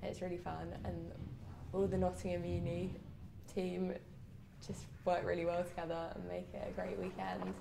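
Low, creaky young Englishwoman, roomy sound. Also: flat delivery, normal speed. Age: young adult. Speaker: student sailing event organiser. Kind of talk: documentary interview. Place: small lakeside room with large windows. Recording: roomy, noisy on-location sound.

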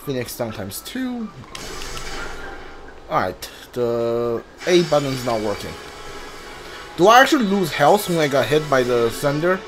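A man's voice: medium pitch, sing-song, a little slow, long pauses. A man giving casual video game commentary, thinking aloud and reading text from the screen. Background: game music.

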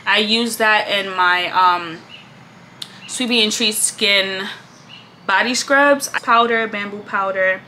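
A young woman vlogging casually at her desk in a small room, laid-back and chatty, her voice a little congested and often trailing off softly at the ends of lines. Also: mid-range voice, takes it slowly, slight background noise. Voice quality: slightly congested. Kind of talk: casual chat.

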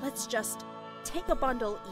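An actress playing an anxious, cautious accomplice in an audio drama, worried and cautioning in manner. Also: female voice, high-pitched, expressive, a little slow, soft background music.

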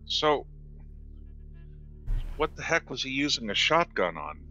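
A man playing a tabletop game online, asking things in a halting, casual way. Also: medium pitch, very animated, bright, forceful tone, dull audio. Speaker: tabletop role-playing player. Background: music.

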